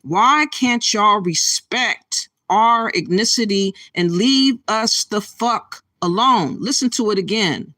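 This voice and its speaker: Indignant woman commentator, talks straight to her audience, dry close sound, mid pitch. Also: slow, few pauses, sharp tone, no echo. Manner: indignant, ranting, addresses viewers as y'all. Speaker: commentary channel host. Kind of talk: reaction commentary.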